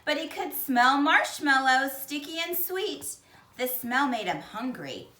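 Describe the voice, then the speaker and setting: Slow, animated woman reading a storybook. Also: high-pitched, distant mic. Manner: expressive, deliberate storytelling with dramatic emphasis. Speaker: teacher reading a picture book aloud. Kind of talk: animated children's storybook read-aloud. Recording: echoey, roomy sound.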